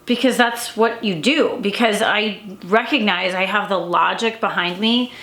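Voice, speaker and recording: A woman's voice: medium pitch, measured pace, a bit bright, reverberant. A woman talking to camera in a casual daily vlog, rambling with many fillers and restarts, a little stuffy and congested. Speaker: vlogger and coach.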